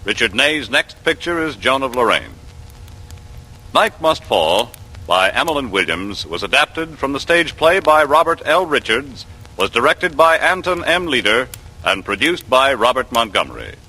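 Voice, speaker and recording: An American man reading scripted credits as a 1940s network radio announcer, with a husky edge to his voice. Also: medium pitch, sing-song, moderate pace, pushed and bright, poor audio.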